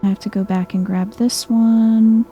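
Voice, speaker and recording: Soft-spoken woman, low-key gaming ASMR. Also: level tone, unhurried, faint music behind. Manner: soft-spoken, chill, no yelling. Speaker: gaming video host. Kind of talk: soft-spoken ASMR game playthrough commentary.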